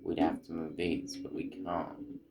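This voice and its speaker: A man's voice: a bass voice, monotone, normal speed, gravelly. A man doing casual gameplay commentary, muttering and thinking aloud to himself as he plays, as a gaming video host. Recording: loud game music behind voice. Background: video game music.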